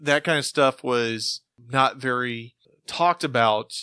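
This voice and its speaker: A man with a medium-pitched voice and a bright, forceful tone chats as a podcast co-host. His delivery is casual and conversational, recorded dry with hardly any room. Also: takes it slowly, clear, resonant tone, no room sound.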